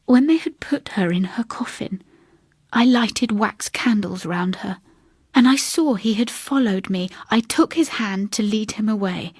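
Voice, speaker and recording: A woman voicing a sombre, haunted older spinster recounting a ghostly tragedy in a hushed, halting, grave manner. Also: mid-range voice, husky, no echo, studio quality. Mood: haunted, grieving. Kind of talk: dramatic ghost story reading.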